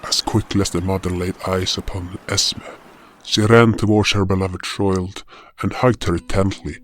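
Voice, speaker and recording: A man's voice: low-pitched, little pitch movement, normal speed, fairly bright tone. A man playing a solemn, powerful guardian hero who narrates his journey in a fantasy audio drama. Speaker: narrator and lead actor. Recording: music behind the voice. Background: music.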